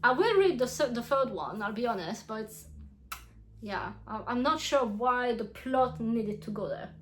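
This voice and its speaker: A young woman whose first language is French talks through a book review in English with a clear French accent. Her voice sits a little high for a woman, and she is frank and chatty, laughing often and restarting her sentences. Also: animated, normal speed. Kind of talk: casual book review vlog.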